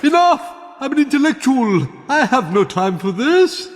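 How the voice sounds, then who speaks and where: High-pitched, pompous camp dragon. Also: male voice, very animated, normal speed, a little hiss or hum. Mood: exasperated. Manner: haughty, affected, theatrical drawl. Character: pompous, snobbish, camp, aesthete dragon weary of questers. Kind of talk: video game cutscene acting. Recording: long cavernous reverb.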